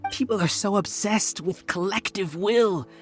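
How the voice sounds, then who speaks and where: A man's voice: high voice, unhurried. A man playing a suave, calculating researcher villain in a video game, polite, condescending and persuasive. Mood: calm, self-justifying. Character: suave, calculating male researcher villain, calmly self-righteous. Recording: music behind the voice.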